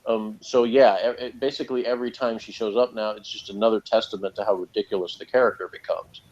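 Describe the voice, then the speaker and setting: A man's voice: fairly low pitch, fairly even intonation, fast, a little mellow, a little hiss or hum. A man in a casual review chat as a podcast reviewer, speaking in a sardonic manner. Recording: slightly dull, lo-fi audio.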